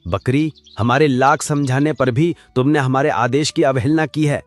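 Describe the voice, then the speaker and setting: A man's voice: medium pitch, moderate pace, no room sound, close to an everyday voice. A man voicing an arrogant donkey who rules the jungle, speaking in a commanding royal manner in a Hindi cartoon. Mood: haughty.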